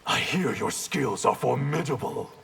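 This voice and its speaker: An actor playing a cold, defiant ninja clan leader in a video game, haughty and menacing. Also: male voice, mid-range voice, lively intonation, measured pace, creaky, a bit bright, husky, exaggerated voice. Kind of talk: video game character dialogue.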